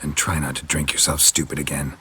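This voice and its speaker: A man playing a gruff, weary, stoic monster hunter in a video game, terse and deadpan, with a gravelly, husky voice. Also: very deep voice, a little fast, heavy background noise. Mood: dry, firm.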